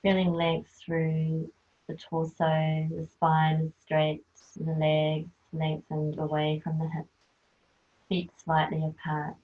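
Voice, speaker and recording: A woman guides a yoga nidra relaxation in a soft, soothing voice. She speaks slowly, low and even, with barely any rise or fall. The sound is thin and tinny, as if heard over a phone. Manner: slow, soft, soothing instruction.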